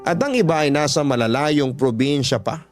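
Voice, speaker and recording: A man's voice: a little high, normal speed. A man with a Filipino accent reading a first-person story as scripted dramatic radio narration. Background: background music.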